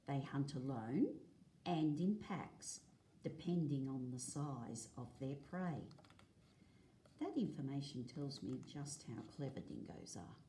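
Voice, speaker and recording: A woman reading aloud as a teacher, explaining in a measured way, phrase by phrase. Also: extremely low pitch, animated, mellow, a little hiss or hum. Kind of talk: read-aloud lesson of a non-fiction picture book. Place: indoors at a table, filming a book.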